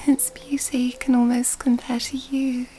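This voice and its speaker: High, slow, whispery seductive vampire woman, acted out. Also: a little hiss or hum. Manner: soft-spoken, breathy, often dropping into whispers; flirtatious, poetic, genteel. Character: elegant, seductive female vampire at a masquerade ball. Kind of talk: ASMR roleplay, intimate scripted monologue. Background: background music.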